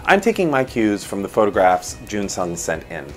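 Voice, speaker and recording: Lively low-voiced male design host. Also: normal speed, faint music behind. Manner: animated, gestures while explaining. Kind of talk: design walkthrough explaining a floor plan. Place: home office with shelves. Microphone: lapel mic.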